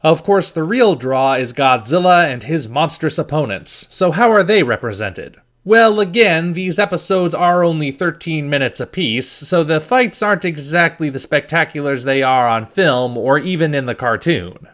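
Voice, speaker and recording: A man's voice: on the high side, expressive, normal speed, few pauses, fairly bright tone. A man hosting a video review, reading scripted narration with wry, dry asides.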